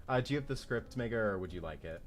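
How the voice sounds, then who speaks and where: A man's voice: on the low side, expressive, fast talker, music in the background. A man hosting a stream read-along in casual, informal chat. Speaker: stream host and narrator.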